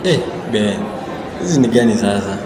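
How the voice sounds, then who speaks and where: A man's voice: fairly low pitch, expressive, normal speed, natural voice. A man acting as a casual, inquisitive student friend in a radio drama. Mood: casual, questioning. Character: casual, curious male student friend Jerry. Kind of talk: radio drama dialogue, casual slangy chat. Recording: echoey space with loud crowd and noise.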